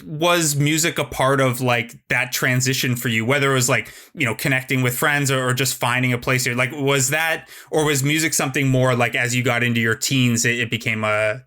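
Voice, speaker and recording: A man's voice: mid-range voice, fairly even intonation, fairly quick, few pauses, pushed and bright. A man, chatting casually and asking questions as a podcast host. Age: 30s. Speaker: podcast host, sports journalist. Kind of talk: casual chat, interviewing.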